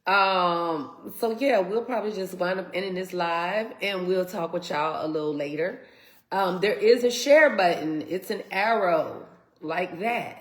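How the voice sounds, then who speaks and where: A woman's voice: fairly low pitch, a little slow, roomy sound. A congested woman co-hosting a casual live Q&A, reading viewer comments aloud. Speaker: co-host of a live Q&A stream. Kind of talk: casual live-stream chat.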